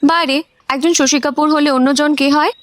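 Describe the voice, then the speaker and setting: অভিনেত্রীর কণ্ঠে চড়া গলার চপল, প্রেমিকা তরুণী. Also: female voice, high-pitched, steady tone, moderate pace, no echo, lightly acted. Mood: flirtatious, coaxing. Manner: coquettish, teasing. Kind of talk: radio drama acting.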